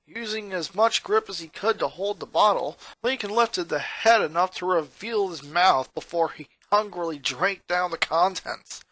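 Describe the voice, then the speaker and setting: A narrator reading a scripted story aloud in an expressive, dramatic storytelling manner. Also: medium pitch, moderate pace, few pauses, low-quality recording.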